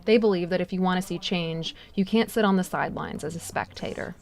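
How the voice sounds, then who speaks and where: A woman's voice: on the low side, a little fast, vocal fry, a little mellow. An American woman reporter delivering scripted news narration.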